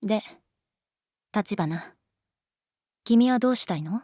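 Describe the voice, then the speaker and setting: An actress playing a calm, guarded young schoolteacher in a Japanese audio drama, speaking softly and frankly. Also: female voice, medium pitch, dry recording, clean studio sound. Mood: guarded, dismissive. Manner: unhurried, soft-spoken, frank. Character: adult female homeroom teacher, calm, guarded, gently detached. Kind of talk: Japanese anime-style audio drama acting.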